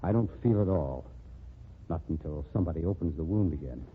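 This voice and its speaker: A man's voice: extremely low pitch, expressive, fairly quick, slightly rough, heavily acted. An actor playing a bitter, disgraced man down on his luck, in 1940s radio acting with a wry, self-mocking manner. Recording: tinny old radio broadcast.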